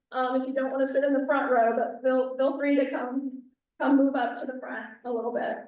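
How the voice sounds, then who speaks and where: A woman's voice: high-pitched, dull audio. A woman on county planning staff presenting at a public community meeting, often restarting and correcting herself mid-sentence. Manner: frequent self-corrections and restarts; says she has a loud outside voice. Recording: echoey room, far from the mic.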